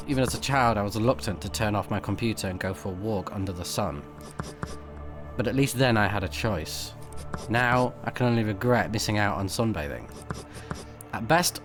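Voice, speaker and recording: A man recording a let's play, switching between casual off-the-cuff commentary and reading game text aloud. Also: fairly low pitch. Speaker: let's player, game streamer.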